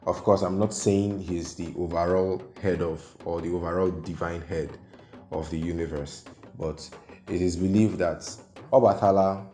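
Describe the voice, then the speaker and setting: A man from Nigeria, hosting his own channel and explaining folklore to viewers in a measured way, often pausing on fillers. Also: low-pitched, steady tone, mellow. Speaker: YouTube host. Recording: echoey room with faint music.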